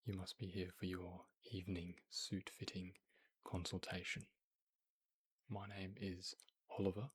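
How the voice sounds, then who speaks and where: A man playing a courteous, attentive boutique tailor in an ASMR roleplay, murmuring and whispering gently, politely and without hurry. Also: deep voice, heavily acted. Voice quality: breathy, whispery. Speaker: ASMR performer.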